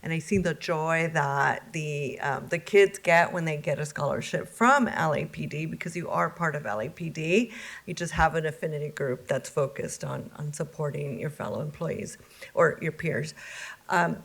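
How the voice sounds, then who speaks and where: A woman police commissioner giving remarks at a public meeting, halting, with many fillers. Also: deep voice, vocal fry.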